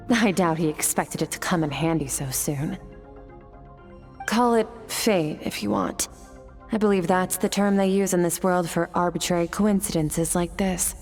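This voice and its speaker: Actress doing cold aloof game swordswoman, lowish voice, composed n kinda condescending, music under it. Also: darkish tone, lightly acted. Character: aloof, cold, battle-hardened female swordswoman. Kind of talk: scripted video game acting.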